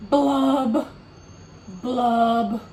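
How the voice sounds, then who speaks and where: A woman voicing a gloomy, pouting male fish in a storybook, speaking in slow sing-song rhyme, recorded from a distance in an echoey room. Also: a little high, fairly even intonation, a little mellow, a little hiss or hum. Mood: glum. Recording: roomy echo, distant mic.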